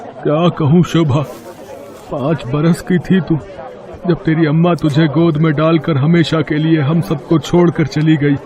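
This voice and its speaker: A man's voice: on the high side, steady tone, lo-fi sound, a crowd in the background, natural voice. A man playing a doting, sorrowful village father in a dramatized story, speaking with emotion. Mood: grieving, tender. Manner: slow, emotional.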